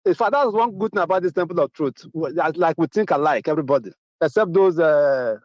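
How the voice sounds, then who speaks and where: A man's voice: on the high side, expressive, a little fast, no echo. A middle-aged Nigerian man who phones in from abroad. He speaks emphatically and keeps repeating his main points. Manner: emphatic, repeats key phrases. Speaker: caller. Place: Atlanta, abroad. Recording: dull muffled phone line.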